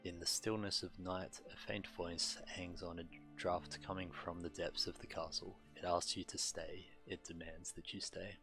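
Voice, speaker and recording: Male game streamer, deep register, little inflection, hesitant matter-of-fact commentary and read-aloud, faint music underneath. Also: level tone, normal speed, few pauses, bright, forceful tone. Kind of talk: live game commentary, reading story text aloud.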